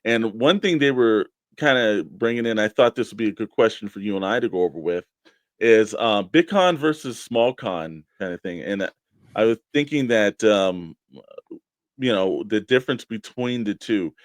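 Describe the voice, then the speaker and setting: A man's voice: on the low side, lively intonation, normal speed, dry recording. An American man co-hosting a toy collecting show in casual, informal conversation with spoken emphasis. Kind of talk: casual radio-show chat.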